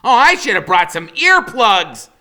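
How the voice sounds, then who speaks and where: A man's voice: high-pitched, big pitch swings, unhurried, pushed and bright, voice pushed up, full character voice. A man voicing a brainy, smart-mouthed thirteen-year-old boy with a cocky, wisecracking manner. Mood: teasing.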